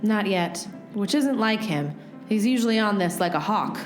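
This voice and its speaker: A woman's voice: medium pitch, lively intonation, normal speed, natural voice. A woman playing a plucky, matter-of-fact detective's sidekick in a noir radio play. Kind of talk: radio play storytelling and dialogue. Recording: roomy echo, faint music bed.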